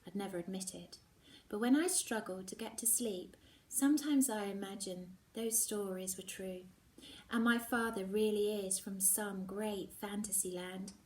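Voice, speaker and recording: A woman teacher reading a novel aloud, expressive and slipping into whispers at tense moments. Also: mid-range voice, a little slow, recorded from a distance. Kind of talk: storybook reading.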